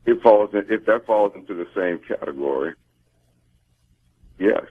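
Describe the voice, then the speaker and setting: Deep, husky American man on the phone. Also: expressive, measured pace, no room sound. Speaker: interviewed campaign spokesman. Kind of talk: live interview answers. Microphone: telephone. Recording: muffled, band-limited phone line.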